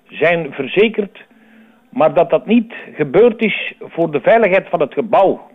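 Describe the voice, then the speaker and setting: A man with a high voice and a Flemish accent, speaking formally as a caller. The sound is thin and narrow, like a telephone. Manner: formal, official wording. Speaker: listener phoning in a tip. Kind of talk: formal, spontaneous theorising. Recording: tinny phone line.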